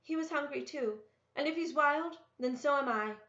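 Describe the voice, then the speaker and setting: A woman's voice: high-pitched, normal speed, a bit bright, pitched above the performer's own voice. A woman voicing a brave, defiant, earnest mountain girl in a storybook. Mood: stubborn. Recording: roomy, echoey, dull lo-fi sound.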